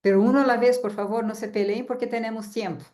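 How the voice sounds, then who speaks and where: A woman's voice: a little high, steady tone, quick pace, a little mellow. Woman lecturing online. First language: Portuguese. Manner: chatty, often adds a tag "¿no?". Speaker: guest lecturer. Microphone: video call.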